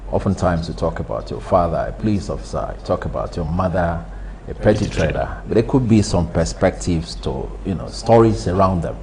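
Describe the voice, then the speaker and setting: A man interviews a guest, asking probing questions in an easy, conversational way. His voice is very deep, and faint noise sits under the recording. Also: animated, fairly quick, gravelly, hardly any pauses, darkish tone, rough recording.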